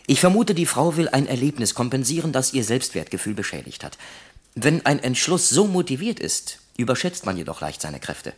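An actor playing a detached, lecturing factory psychologist in a radio play, analytical, pedantic and wryly sceptical. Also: male voice, mid-range voice, very animated, brisk, breathy edge. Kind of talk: German radio play acting.

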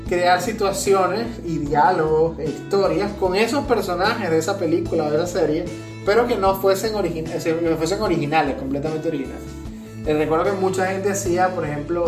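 A woman co-hosting a casual podcast, explaining things haltingly with repeated words. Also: lowish voice. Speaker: podcast co-host. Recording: distant echoey mic, music behind the voice. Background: plucked guitar music.

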